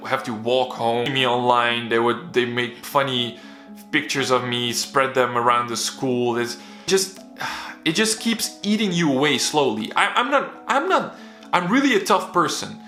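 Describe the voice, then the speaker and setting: A man's voice: mid-range voice, bright, forceful tone. A young man raised in the Netherlands with Bosnian as his first language, earnestly telling his own story in a candid, casual vlog. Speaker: vlogger. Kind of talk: personal motivational story. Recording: roomy echo.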